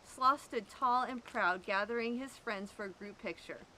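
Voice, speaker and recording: A woman reading a children's picture book aloud, with expressive, drawn-out emphasis. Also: a little high, slight background noise, rough recording. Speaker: storyteller at an animal sanctuary.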